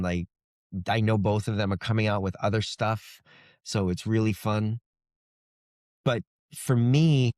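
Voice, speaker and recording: A man's voice: lowish voice, darkish tone, no echo, clean studio sound. A middle-aged man speaking as an interview guest, telling things in a casual, reflective, conversational way. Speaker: fantasy author, interview guest.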